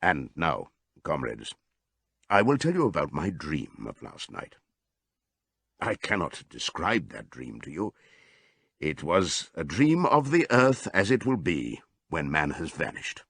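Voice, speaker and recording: A man voicing an old male pig in an audiobook, giving a solemn, deliberate, rousing speech to his comrades. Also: extremely low pitch, wildly swooping pitch, a bit bright, dry recording, pitched below the performer's own voice, heavily acted. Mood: grave, reminiscing. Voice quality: hoarse as scripted old voice. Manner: oratorical, deliberate.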